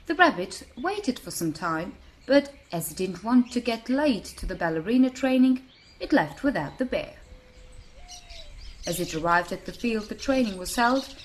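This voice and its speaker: Woman, children's story narrator, fairly high voice, very lively intonation, expressive, some background noise.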